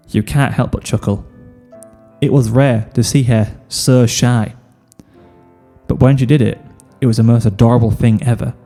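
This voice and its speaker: A man's voice: mid-range voice, mellow, big-room sound. A man reading a scripted second-person story as narrator. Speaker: fan fiction reader, narrator.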